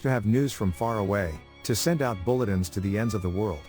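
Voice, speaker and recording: A man recites literary passages in a slow, solemn manner, his voice sitting fairly low. Soft instrumental music runs beneath him. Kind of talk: reading literary quotations. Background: faint music.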